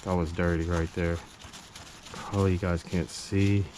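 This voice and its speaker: A man with a low-pitched voice talks through a hands-on repair in loose, slangy, unscripted chat. He drifts between muttering to himself and addressing viewers. The action-camera audio has a faint noise behind him. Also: level tone, moderate pace, darkish tone. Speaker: amateur repair vlogger. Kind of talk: casual unscripted chat while working on a repair. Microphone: GoPro action camera.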